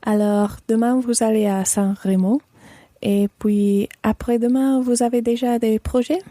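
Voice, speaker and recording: A woman playing a sociable French hostess in a scripted lesson radio play, inquiring and clearly articulated. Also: a little high, steady tone, a little slow, mellow, no room sound, studio quality, natural voice. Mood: curious, friendly. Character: Sophie, sociable French woman hosting new friends.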